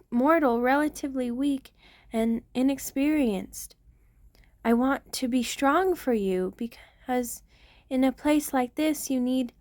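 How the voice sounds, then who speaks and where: Slow, high-voiced tender vampire queen, played by a woman. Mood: protective, devoted. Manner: soft, formal, confessional, hesitant phrasing. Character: centuries-old vampire queen, tender, protective, remorseful lover. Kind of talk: intimate ASMR roleplay monologue.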